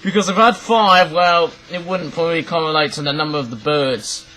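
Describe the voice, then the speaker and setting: A young man with a croaky voice, rambling through a casual review in his bedroom, full of fillers and restarts. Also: on the high side, fairly quick, pushed and bright, a little hiss or hum, rough recording. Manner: rambling, repeats himself, many fillers and restarts. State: croaky voice, coughing. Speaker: vlogger reviewing craft toys. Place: cluttered bedroom, indoors. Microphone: webcam at desk.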